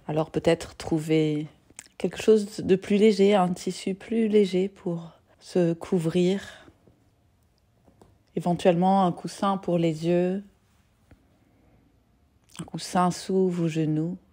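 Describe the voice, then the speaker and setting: A woman yoga nidra teacher leading a guided meditation in a gentle, soothing, soft-spoken manner with long pauses. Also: lowish voice, fairly even intonation.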